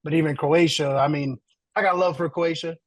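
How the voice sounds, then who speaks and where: A man's voice: on the high side, steady tone, fairly quick, no room sound. Upbeat chatty male podcast host. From Slovenia. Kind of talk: casual podcast interview.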